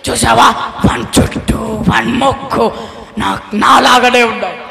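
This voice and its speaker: A man voicing a loud, theatrical Satan puppet in a children's church show, taunting and repeating himself. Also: very high-pitched, a little slow, vocal fry, slight background noise, full character voice. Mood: taunting, boastful. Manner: theatrical, exclamatory, repetitive. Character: Satan puppet, loud taunting devil, easily frightened of Jesus. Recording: echoey hall with long reverb.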